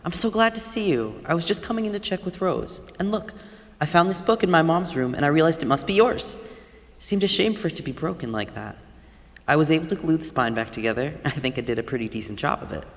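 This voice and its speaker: A friendly, grateful neighbor in a stage drama played by a woman, chatty and earnest, with a warm manner. The voice carries in a reverberant hall. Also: low voice, lively intonation, fast. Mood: glad, sheepish. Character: Clark, friendly, grateful neighbor with an aging mother. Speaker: actor. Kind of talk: staged reading of a musical. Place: high school theater stage.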